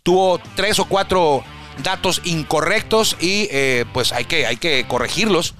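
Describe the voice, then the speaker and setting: A man's voice: a little high, a little slow, pushed and bright, soft background music. A man hosting a baseball talk show, chatting casually and correcting himself as he rambles through statistics. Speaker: baseball stats radio host.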